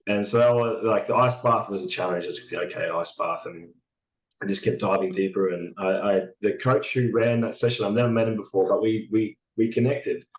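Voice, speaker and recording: A man with a hoarse, raspy voice, talking in a halting, reflective way as a podcast guest, full of fillers and restarts. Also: lowish voice, highs cut off. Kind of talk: casual reflective chat.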